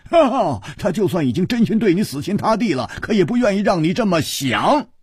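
Storybook wuxia hero played by a male reader: loud, hearty, teasing, voice jumping high and swooping. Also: sing-song, normal speed, breathy edge, no room sound, clean studio sound. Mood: loud, triumphant. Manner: exclaiming, stammering through laughter. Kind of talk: Chinese wuxia storybook character voice.

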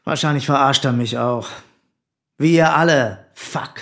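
A resentful computer geek in a radio crime drama. His voice is medium in pitch, and he talks in a sullen, self-pitying, slangy way. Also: a little slow, fairly bright tone. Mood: sullen, evasive. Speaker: murder suspect.

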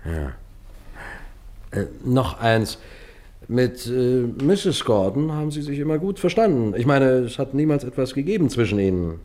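A man playing a calm, methodical police sergeant who questions and narrates in a German radio crime drama. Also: medium pitch, natural voice. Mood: probing. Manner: measured, methodical, persistent questioning. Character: calm, probing male Scotland Yard police sergeant. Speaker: detective and first-person narrator.

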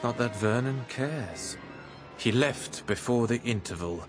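A man acting in a radio drama as a composer's loyal friend, telling the story in a measured, reflective way. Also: mid-range voice, over music, natural voice. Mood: resigned. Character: male theatre impresario, loyal, exasperated friend of a composer. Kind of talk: radio drama narration and dialogue.

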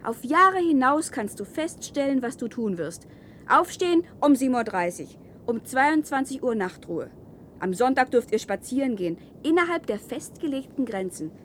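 A woman's voice: high-pitched, sing-song, moderate pace, a bit bright, slight background noise. An actress playing a defiant woman settler in a German science-fiction radio play, speaking in a pointed, challenging way. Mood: defiant, explaining. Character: defiant woman settler of an outlaw wilderness colony.

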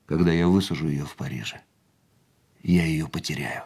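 An actor in a radio drama playing a weary, haunted war veteran of about forty, reflective and measured, with brooding pauses. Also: male voice, very deep voice, steady tone, very noisy. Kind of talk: radio drama acting, dialogue and monologue. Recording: studio radio play with music behind.

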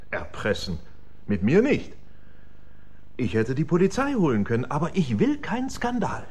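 An actor playing a haughty, irritable well-to-do gentleman with something to hide in a German radio crime drama. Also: on the high side, huge pitch swings, a little slow, breathy edge, exaggerated voice. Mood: indignant. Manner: imperious, agitated, emphatic.

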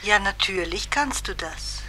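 An actress playing an older dead mother-in-law, gentle, calming and resigned, in a radio drama. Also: mid-range voice, measured pace, slight background noise, rough recording. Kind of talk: theatrical radio drama acting.

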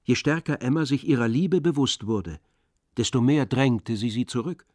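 A man's voice: medium pitch, normal speed, darkish tone, dry recording. Male literary narrator, very dry sound. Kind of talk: scripted literary radio play narration.

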